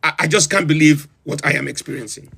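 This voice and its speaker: A man's voice: a little high, fast talker, gravelly, bright, forceful tone, husky, heavily acted. A man playing a pompous self-styled president of a comic republic, who hosts a livestream and reels off long lists in theatrical disbelief. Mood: incredulous. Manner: incredulous, theatrical, lists items with rising emphasis. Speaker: livestream host. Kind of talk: comedic in-character livestream rant.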